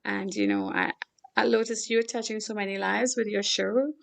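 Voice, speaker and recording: Gravelly middle-aged woman with Trinidadian accent. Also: mid-range voice, very animated, normal speed. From Trinidad and Tobago. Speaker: show guest, philanthropist. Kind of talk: conversational interview talk.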